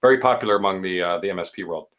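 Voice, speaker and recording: Low-pitched male podcast interviewer. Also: rapid-fire, a bit bright. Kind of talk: casual, conversational interviewing.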